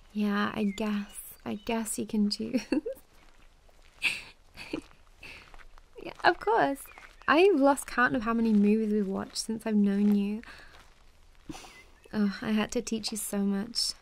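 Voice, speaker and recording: A young woman playing a warm, playful best friend in an intimate ASMR roleplay, chatting casually with a giggly, teasing, hesitant manner. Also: medium pitch, measured pace, gravelly. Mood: teasing, fond.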